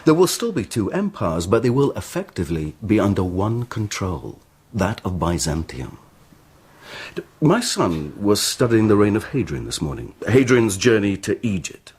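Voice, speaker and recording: A man's voice: on the low side, big pitch swings, moderate pace, a little mellow, husky. An actor playing a deferential but ambitious Roman envoy in a radio drama, courtly and persuasive in his talk. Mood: rousing, persuasive.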